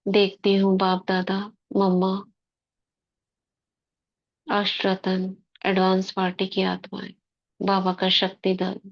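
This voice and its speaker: A woman guiding a spiritual meditation in slow, soft short phrases with long pauses. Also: mid-range voice, completely flat delivery, lo-fi sound. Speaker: meditation guide. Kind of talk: guided meditation commentary.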